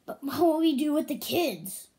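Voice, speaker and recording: A woman's voice: high-pitched, lively intonation, normal speed, dark tone, voice pushed up. A woman voicing a flustered, apologetic husband and young father in a read-aloud storybook, hesitant and given to exclaiming. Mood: puzzled.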